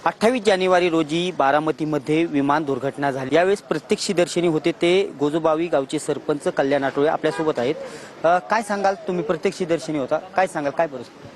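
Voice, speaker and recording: A man's voice: fairly high pitch, fast talker. A man with a Maharashtrian accent reporting from a location and putting questions as a field reporter.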